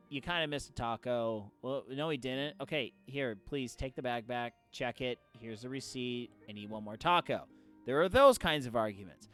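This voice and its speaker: A man in his thirties with a voice higher than most men's, chatting casually while livestreaming a game and telling stories with lots of laughter. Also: sharp tone, clear, resonant tone. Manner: laughing storyteller who acts out quoted voices. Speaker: streamer.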